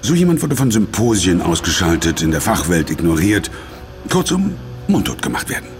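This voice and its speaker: An actor playing an imperious corporate executive in an audio drama, formal and smug, quick to fawn on superiors. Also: male voice, sits low, wildly swooping pitch, soft background music. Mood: smug, ingratiating. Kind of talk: German audio drama acting.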